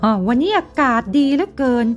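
A pampered young master of a fruit garden, as a woman storyteller puts him on, bossy and quick to exclaim. His voice rides high and swoops between words in a storybook telling. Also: lively intonation, over music. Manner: haughty, exclaiming, high-pitched. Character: young man, spoiled garden owner's son.

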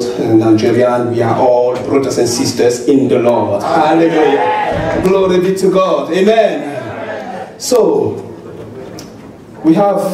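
A middle-aged man with a Cameroonian accent, a pastor addressing his congregation, animated and punctuating with hallelujah and amen. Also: medium pitch. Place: large church hall stage. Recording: echoey hall with crowd, from far off.

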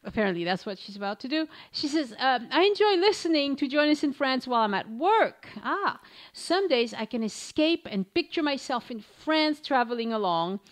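A woman's voice: high-pitched, big pitch swings, normal speed. A woman hosting a travel podcast, chatting casually, laughing often and stumbling over listener names as she reads reviews aloud. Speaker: podcast host.

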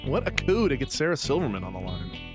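A man's voice: medium pitch, sing-song, quick pace. A man hosting a casual sports and comedy radio show, talking emphatically and repeating words, close on a studio microphone. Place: small studio with acoustic foam. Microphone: close studio microphone with headset. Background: music.

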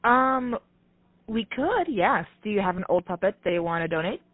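A woman's voice: medium pitch, animated, normal speed, dry recording. A woman handling a customer service call, polite, careful and hesitant, over a phone line. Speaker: puppet theater phone receptionist.

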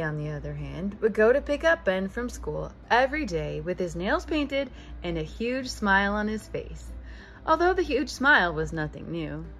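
A woman in her thirties reading a picture book aloud as a preschool teacher, expressively addressing young children. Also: medium pitch. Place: living room with couch, indoors.

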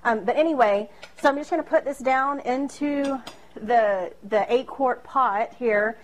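A woman's voice: high-pitched, unhurried, a little hiss or hum, poor audio. A woman in her thirties giving a casual cooking demonstration in a small home kitchen, chatty and self-deprecating, recorded by a camera across the room. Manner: chatty and self-deprecating, with frequent fillers and laughs. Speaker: home cooking video host.

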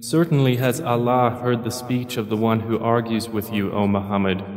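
A man with a fairly low voice reads scripture from a script. His delivery is solemn and formal, phrase by measured phrase, and a reverberant tail follows his words. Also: fairly even intonation, a little mellow. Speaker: translation reader. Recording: reverberant voice-over.

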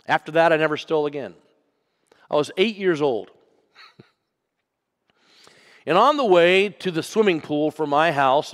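A man preaching a sermon as pastor, telling a personal story and moving from loud to hushed. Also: mid-range voice, in a large hall. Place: church sanctuary.

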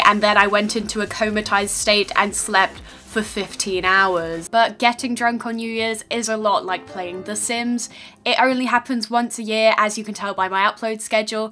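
A woman's voice: on the high side, sharp tone. Sarcastic young British woman vlogging. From Britain. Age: young adult. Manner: sarcastic, rambling, crude jokes. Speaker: gaming YouTuber. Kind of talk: comedic vlog intro. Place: small home bedroom studio. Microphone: large studio mic in front.

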